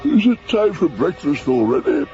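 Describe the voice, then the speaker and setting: A high, fussy, swooping sidekick. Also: male voice, wildly swooping pitch, moderate pace, creaky, mellow, heavily acted. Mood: incredulous. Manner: exclamatory, incredulous. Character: fussy, astonished male sidekick of a space detective. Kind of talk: radio drama acting. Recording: dull radio recording with music underneath.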